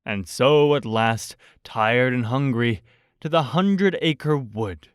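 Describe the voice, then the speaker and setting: A man narrating a bedtime storybook as an audiobook reader, in a measured, expressive storytelling manner. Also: medium pitch, no room sound, studio quality.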